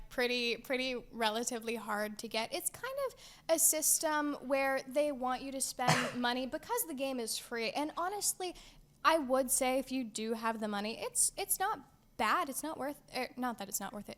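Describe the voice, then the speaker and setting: A woman's voice: high voice, moderate pace, a bit bright. A woman co-hosting a gaming show, chatting casually and playfully with wry little asides.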